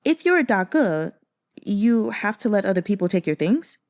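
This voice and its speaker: A simple, earnest man with a childlike way of speaking, voiced by a woman reading an audiobook. His phrases are blunt and halting, in a soft-edged, mellow tone with lively pitch. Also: no echo, studio quality. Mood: puzzled.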